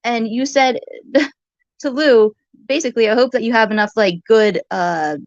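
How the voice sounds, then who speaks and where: A woman's voice: on the high side, moderate pace, no echo. A woman in a heated, informal argument, talking in an accusatory, rambling way and sometimes swearing. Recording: muffled lo-fi audio.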